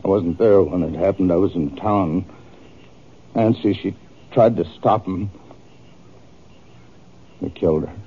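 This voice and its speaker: An actor playing a wounded, bitter, grieving Texas farmer in an old radio western, speaking in a rustic, halting, strained way. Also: male voice, low-pitched, husky. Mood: weary, sorrowful. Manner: rustic, halting, weary speech. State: injured, strained. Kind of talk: 1950s radio western acting. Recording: narrow, muffled old-time radio recording.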